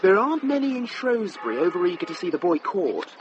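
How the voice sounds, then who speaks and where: An eager young monk in a radio drama. His voice is high and swings very widely as he earnestly explains. Music is clearly heard behind him. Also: very animated, fairly quick, highs cut off. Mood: earnest, curious.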